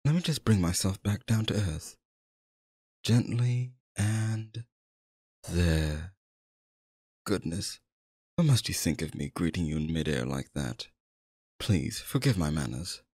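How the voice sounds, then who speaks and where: A man playing a sinister, flattering stage magician in an ASMR roleplay, speaking in a flowery, genteel, theatrical manner. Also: low voice, takes it slowly, long pauses, breathy edge, dry recording, clean studio sound, full character voice. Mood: courtly, apologetic.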